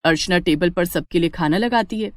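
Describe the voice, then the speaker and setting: A woman's voice: fairly low pitch, fairly quick, dry recording, clean studio sound. A woman reading a scripted family story as a dramatic storyteller. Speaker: story narrator.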